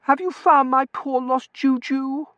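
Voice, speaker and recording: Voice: sits high, fairly even intonation, measured pace, fairly bright tone, no room sound, dull audio, pitched above the performer's own voice. A narrator voicing a spoiled, affected young lady who coos in fluttery, prissy baby talk in a storybook reading. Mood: gushing, eager.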